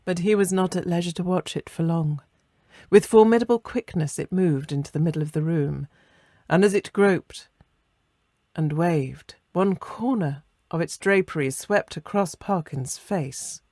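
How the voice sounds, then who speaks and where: A narrator reading a classic ghost story in a measured, literary and expressive way, letting phrases soften at the end. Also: mid-range voice, no echo, studio quality.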